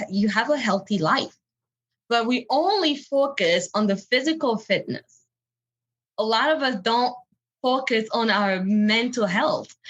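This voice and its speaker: Bright-voiced Beninese woman coach. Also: a little high. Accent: Beninese French. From Benin, West Africa. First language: French. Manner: earnest, encouraging, light laughs. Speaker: empowerment coach guest. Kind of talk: podcast interview.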